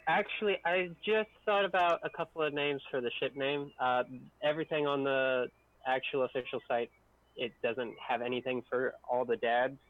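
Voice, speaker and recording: A man's voice: medium pitch, normal speed, no room sound. A man co-hosting a casual group chat, heard over a thin connection. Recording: thin phone-like band-limited line.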